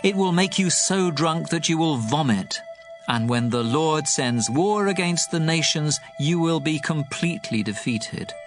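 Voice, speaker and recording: An actor playing the prophet Jeremiah, a grave and earnest man, delivering a scripture audio drama in a measured way. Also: a little high, lively intonation. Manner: grave, measured reading of warnings. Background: soft music.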